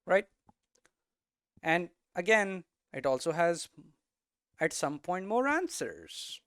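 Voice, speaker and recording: A man giving an informal explanatory lesson as a presenter, posing questions and answering them himself in halting, emphatic phrases. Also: high voice, big pitch swings, fairly bright tone, smooth, no echo, studio quality. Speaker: presenter of a game development explainer series.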